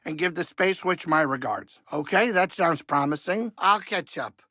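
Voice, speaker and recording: An aging alien starship pilot for a video game. His voice sits fairly high, with a bright, pushy edge and plenty of gravel. He is folksy and fussy, a wisecracking grumbler with a soft heart, recorded close and dry. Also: expressive, moderate pace, no room sound. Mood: breezy, eager. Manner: folksy, wisecracking, fussy, colloquial streetwise talk. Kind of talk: video game character dialogue.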